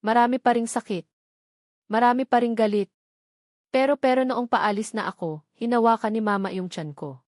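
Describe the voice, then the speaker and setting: A woman's voice: a little high, moderate pace, a little mellow, dry recording, clean studio sound, lightly acted. A woman voicing an earnest, protective young man in an audio drama, speaking in a tender, reassuring way to the one he loves. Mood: emotional, earnest.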